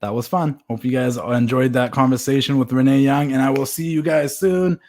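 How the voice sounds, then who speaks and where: A podcast host with a West Coast Canadian accent, asking questions in a casual interview. He talks quickly at a middle pitch and circles around his point with a lot of restarts. The tone is easygoing. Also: steady tone, a little mellow.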